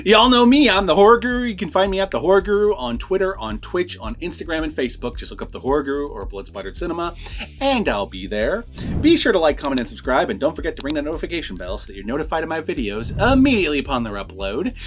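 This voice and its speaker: A man's voice: fairly high pitch, big pitch swings, fast talker. A man chatting informally and enthusiastically, unscripted, as host of a horror film review vlog.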